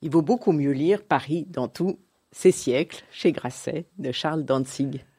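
A woman's voice: low-pitched, unhurried, warm tone, breathy edge. A woman hosting a literary radio interview, putting questions to her guest and presenting the programme.

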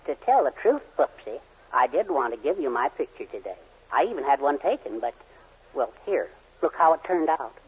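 A husky, high-voiced befuddled husband. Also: male voice, very animated, moderate pace, exaggerated voice. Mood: mild, rueful. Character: meek, befuddled middle-aged husband and father. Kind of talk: 1940s radio sitcom acting. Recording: muffled 1940s radio recording.

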